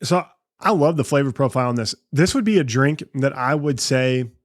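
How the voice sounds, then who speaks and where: A man's voice: mid-range voice, normal speed. Man hosting a whiskey podcast. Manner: conversational, asks long rambling questions. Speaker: podcast host. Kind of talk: casual podcast interview.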